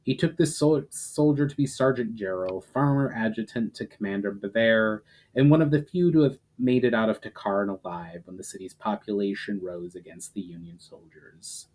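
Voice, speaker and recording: A man's voice: fairly low pitch, normal speed, darkish tone, rough recording. Man giving a dramatic fantasy reading. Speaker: author reading own fantasy fiction. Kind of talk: dramatic audiobook narration.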